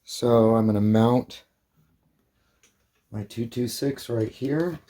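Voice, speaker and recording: A man's voice: mid-range voice, slow pace, mellow. A man presenting an informal hands-on demonstration as a hobbyist, explaining things plainly step by step.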